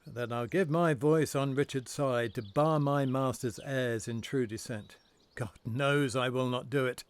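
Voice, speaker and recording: An actor playing a proud, overconfident lord in a Shakespearean audio drama, speaking in an emphatic, theatrical way. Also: male voice, mid-range voice, measured pace, clear, resonant tone, natural voice. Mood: defiant, gloating. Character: proud, overconfident English lord, scornful and loyal.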